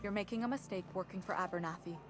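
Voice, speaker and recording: Scheming female company clerk played by a woman, folksy, confiding, mid-pitched, video game frontier town. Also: very fast, noisy background, lightly acted. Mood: confiding, insistent. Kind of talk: video game dialogue acting.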